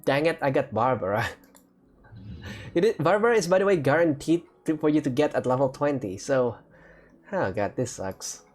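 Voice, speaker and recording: A man's voice: medium pitch, lively intonation, normal speed, a little mellow, faint music behind. A man hosting a gaming video, giving casual, off-the-cuff commentary in short reacting phrases.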